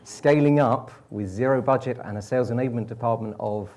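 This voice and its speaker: A man introducing himself on a conference panel as a sales enablement director, brisk and wry. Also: medium pitch, a little mellow.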